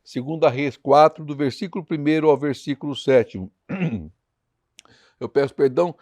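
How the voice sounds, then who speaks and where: Male preacher, Brazilian Portuguese accent, highish register with slight hoarseness, reading and explaining scripture, dry sound. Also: normal speed, darkish tone, no echo. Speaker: preacher leading a Bible study. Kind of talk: devotional Bible teaching with scripture reading.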